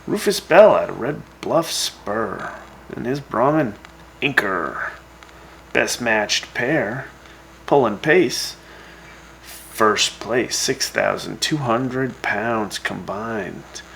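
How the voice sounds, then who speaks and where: A man playing a laid-back, folksy host of a post-apocalyptic wasteland radio show, giving news in a casual, unhurried way. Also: medium pitch, lively intonation, creaky, fairly bright tone, a little hiss or hum, exaggerated voice, rough recording. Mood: casual, reporting.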